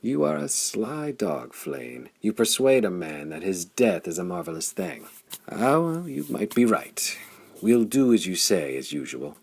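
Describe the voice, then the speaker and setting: Man acting a proud, gruff, argumentative nobleman. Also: on the low side, wildly swooping pitch, a little slow, darkish tone, voice pushed up, full character voice. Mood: grudging, wry. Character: proud, sullen, bellowing male nobleman, argumentative.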